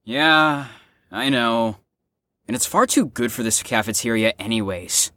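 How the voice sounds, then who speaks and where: A woman's voice: rumbling low, expressive, unhurried, sharp tone, no room sound, heavily acted. A woman playing a brash, impatient young patient in an audio drama, whiny and exaggerated. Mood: disgusted, sarcastic.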